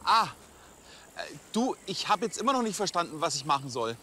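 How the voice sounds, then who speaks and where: A man's voice: high voice, sing-song, fairly quick, sharp tone, a little hiss or hum, heavily acted. An actor playing a hapless, confused and whiny junior policeman in a TV crime comedy.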